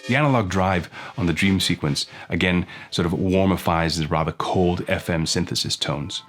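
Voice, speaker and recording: Low-voiced man over loud music. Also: moderate pace. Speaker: effects pedal demo host. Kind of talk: casual demo voice-over narration. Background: electronic music and instrument sounds.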